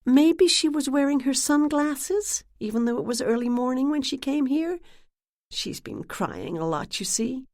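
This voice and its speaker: A woman voicing a woman who puts on a giggly, gossipy act with a New England accent, dropping to a confiding hush at times. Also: high-pitched, animated, unhurried, no echo, voice pushed up. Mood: chatty. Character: woman posing as a giggly, chatty lost sister.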